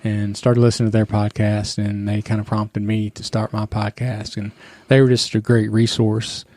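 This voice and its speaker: A man hosting a podcast, talking in a relaxed, encouraging way. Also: lowish voice, normal speed, dry recording. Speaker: podcast host. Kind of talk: casual interview talk.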